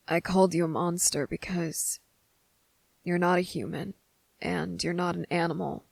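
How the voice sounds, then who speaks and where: A woman playing a wary, blunt but softening young boy in an ASMR roleplay, speaking deliberately with hesitant pauses. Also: on the low side, creaky, no echo. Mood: curious, puzzled. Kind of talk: ASMR roleplay audio drama.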